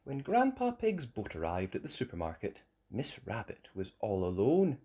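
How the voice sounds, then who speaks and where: A woman narrating a children's storybook aloud in an expressive, measured way. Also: a bass voice, husky.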